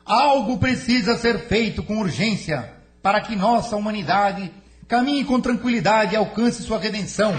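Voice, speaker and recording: Man playing a high-voiced, dignified council delegate. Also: normal speed, natural voice. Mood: earnest, solemn. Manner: formal, solemn speechmaking. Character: dignified, earnest delegate addressing alien council. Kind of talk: spiritist audio drama acting.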